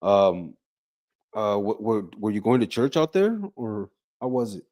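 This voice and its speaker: A man's voice: fairly low pitch, expressive, a little slow, a little mellow. Hesitant man interviewing a guest. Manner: hesitant, many ums and restarts. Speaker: host. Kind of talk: casual interview.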